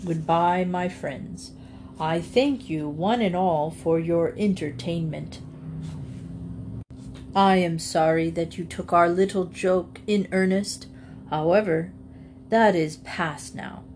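A woman's voice: on the low side, slow pace, darkish tone, slight background noise, voice pushed down. A woman voicing an ancient, sly male alligator who speaks with false politeness. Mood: oily.

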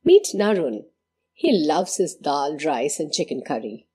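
A woman reads scripted documentary narration in a measured manner. Her voice is low, dark and breathy. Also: very animated. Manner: measured, storytelling.

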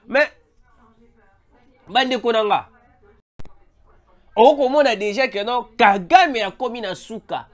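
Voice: mid-range voice, slow pace, long pauses. An online news commentator with a Congolese French accent and a head cold, animatedly addressing viewers in a voice-over. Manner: animated, repeatedly calls out to viewers, mixes in Lingala. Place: voice-over over a still image.

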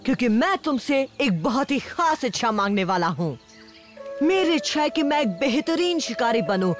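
A woman playing an eager, earnest young jungle boy in a cartoon dub. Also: sits high. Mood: hopeful.

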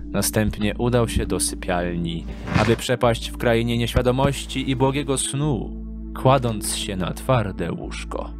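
A man narrating a scripted dark fantasy audio drama in a measured storytelling manner. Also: medium pitch, over music.

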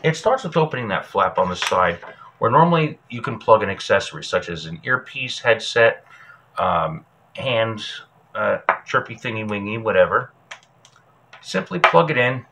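A man's voice: fairly low pitch, pushed and bright, slight background noise. An American man in his thirties giving an informal how-to tutorial to camera in a folksy, jokey, plain-spoken way. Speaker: host of a survival show, instructor. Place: small indoor room with patterned wall.

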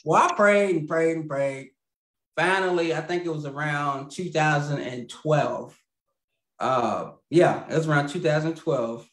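Male guest, 30s, rambling storyteller, lots of fillers, slightly high voice, echoey video-call audio. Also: a little slow. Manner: rambling, laughs mid-sentence, many fillers and restarts. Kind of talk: casual storytelling. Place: home room.

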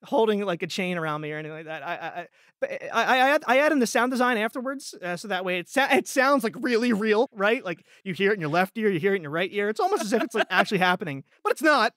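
A man hosting a podcast in casual chat, rambling and halting as he repeats words. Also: high voice, lively intonation, a bit bright, dry recording, clean studio sound. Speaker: podcast host.

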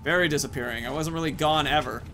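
A man in his thirties talks through a video game in a fairly high-set voice. His commentary is casual and rambling, in informal language, with game music faintly behind him. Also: quick pace. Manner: rambling, informal, swears mildly. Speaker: gaming video host.

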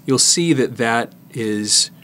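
A middle-aged man giving a casual hands-on tutorial, explaining in a halting way as he works with his hands. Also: medium pitch, bright, forceful tone. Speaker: presenter demonstrating cassette disassembly.

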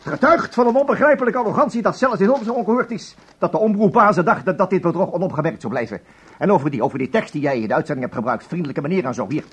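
Angry dutch boss in a radio play, high husky voice, sarcastic rant. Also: male voice, animated, fast, a bit bright, heavily acted. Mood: outraged. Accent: Netherlandic Dutch. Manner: ranting, sarcastic. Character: furious, scornful broadcasting boss berating an employee. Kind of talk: radio drama acting.

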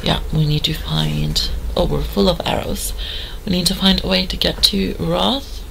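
Give me a hoarse, very gravelly woman chatting casually while gaming. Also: lowish voice, fairly even intonation, normal speed, a little hiss or hum, low-quality recording. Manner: chatty, rambling, full of fillers. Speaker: gamer. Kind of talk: let's play commentary.

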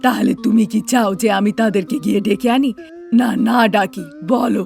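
A woman's voice: mid-range voice, fairly even intonation, normal speed, creaky, darkish tone, soft background music, lightly acted. A woman playing a bossy, nagging elderly mother-in-law and grandmother in a cartoon, with a scolding, insistent manner. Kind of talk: Bengali cartoon dialogue.

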